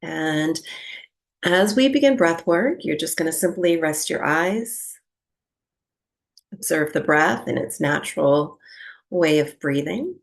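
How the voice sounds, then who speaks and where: A woman leads a guided breathwork session at a very slow pace. Her voice sits a little low, with a strong creak in it. She gives gentle instructions and leaves long pauses between them. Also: lively intonation. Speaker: yoga and breathwork teacher.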